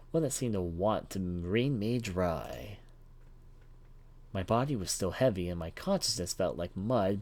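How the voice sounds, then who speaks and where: A man's voice: lowish voice, darkish tone. A man reading visual novel narration aloud for a let's play, dramatic and sometimes stumbling over words. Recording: background noise behind voice.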